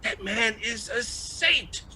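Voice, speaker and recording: A man's voice: extremely high pitch, animated, slow, bright, forceful tone, husky, full character voice. A man playing an opinionated, indignant ordinary guy in a comic drama, ranting with exasperated emphasis. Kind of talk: dramatized comic adaptation acting.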